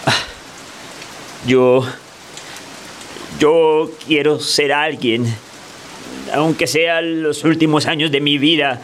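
An actor playing a bitter, fearful older Colombian husband in a radio drama, halting and complaining. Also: male voice, fairly high pitch, fairly even intonation, slight background noise. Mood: bitter, pleading.

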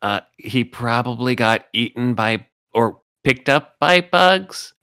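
Male player, slow and careful, smooth voice, dry sound. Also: mid-range voice, expressive, bright, forceful tone, dry recording. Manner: slow and deliberate, reasoning aloud. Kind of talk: casual tabletop play.

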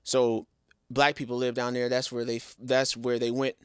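A man co-hosting a relaxed podcast, his voice smooth and resonant at an ordinary middle pitch. He talks in a lively way and addresses viewers directly, with slang. The sound is close and dry, with no room around it. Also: normal speed, dry recording. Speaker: podcast co-host. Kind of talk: casual podcast chat.

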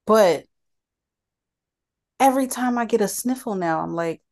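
Woman, podcast co-host, has a cold, lively chatty delivery, laughs a lot. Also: medium pitch, unhurried. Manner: animated, laughs often. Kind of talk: casual chat.

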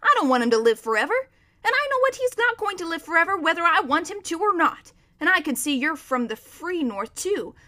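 A woman's voice: very high voice, very animated, a little fast, bright, forceful tone, pitched above the performer's own voice, exaggerated voice. A woman reading a storybook as a proud, bossy but kindly male war horse, with an emphatic, lecturing manner. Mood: assured. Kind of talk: children's storybook character reading.

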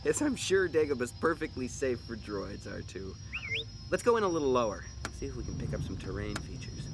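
A man's voice: medium pitch, sing-song, brisk. An actor playing an earnest young rebel pilot in a radio drama, talking to his droid. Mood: calm, watchful. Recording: music and vehicle noise behind. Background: dramatic music, spacecraft engine sounds.

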